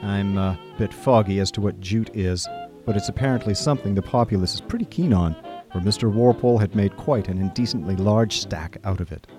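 A man playing a chatty, idle young gentleman who narrates a comic radio drama in breezy, old-fashioned upper-class phrasing. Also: lowish voice, fairly quick, over music, natural voice. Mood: amused explaining.

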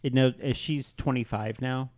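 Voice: mid-range voice, steady tone, moderate pace, darkish tone, a little hiss or hum. A podcast panelist chatting casually in brief asides and interjections.

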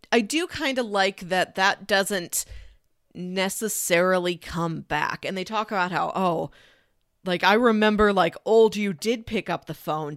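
A woman's voice: mid-range voice, a bit bright, no room sound. Woman just chatting on a movie podcast, mid-range voice, really dry recording. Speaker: film podcast co-host. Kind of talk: casual film discussion.

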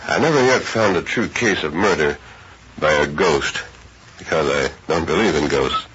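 An actor playing plain-spoken men of the West, a drawling ranch hand and a masked hero, in old radio drama style. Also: male voice, very deep voice, moderate pace, fairly bright tone. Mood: calm, commanding. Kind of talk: old-time radio western acting. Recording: muffled, noisy old radio recording.

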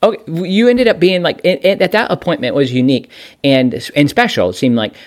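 A man's voice: on the high side, brisk. A man hosting a podcast interview, asking questions in an eager way and often restarting his sentences. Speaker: podcast host.

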